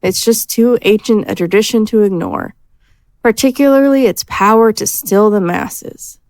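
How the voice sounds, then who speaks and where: A woman's voice: medium pitch, moderate pace, darkish tone, dry recording, natural voice. A woman playing a learned, matter-of-fact adventurer who explains things articulately in a fantasy audio drama. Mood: explaining. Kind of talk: scripted audio drama acting.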